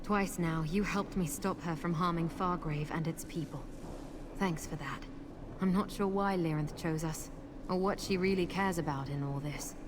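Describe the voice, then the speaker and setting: A woman's voice: fairly low pitch, moderate pace, lightly acted. A woman as a resolute city protector. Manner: earnest, steady, resolute. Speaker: game character, city protector ally. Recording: game audio with background noise.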